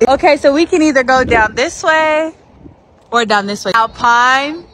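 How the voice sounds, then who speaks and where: A woman in her thirties filming a family vlog, excitedly touring RVs and exclaiming over every feature. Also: high voice, measured pace. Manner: gushing, exclaiming, giggly. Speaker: family vlogger. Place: RV dealership lot and trailer interiors. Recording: noisy handheld phone audio.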